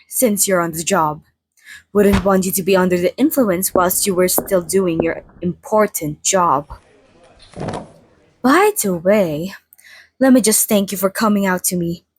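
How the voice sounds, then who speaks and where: A woman voicing a playful, theatrical century-old female vampire in a chatty, mock-formal ASMR roleplay monologue, with a soft, breathy voice. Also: medium pitch, a little hiss or hum, natural voice. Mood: gracious, chatty. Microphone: close studio microphone, dry.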